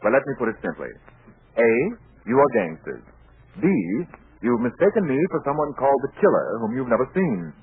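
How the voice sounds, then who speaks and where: An actor playing a pedantic, politely exasperated professor with formal, hesitant speech in a 1940s radio comedy. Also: male voice, medium pitch, sing-song, a little fast, breathy edge, full character voice. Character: stuffy, bookish zoology professor, politely exasperated. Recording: muffled 1940s radio recording.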